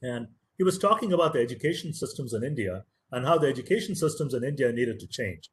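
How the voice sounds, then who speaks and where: A man's voice: medium pitch, animated, quick pace. A man whose first language is Tamil gives an earnest, motivational webinar lecture as a professor, stressing key words and repeating phrases for emphasis.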